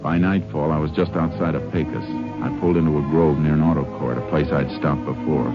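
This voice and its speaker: A very low-voiced American lawman, laconic and determined, telling his manhunt like a plain-spoken Ranger. It is vintage radio drama, muffled, with loud music. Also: fairly even intonation, fairly quick. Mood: matter-of-fact. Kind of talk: 1950s radio drama acting and first-person narration. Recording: dull old radio recording, loud music.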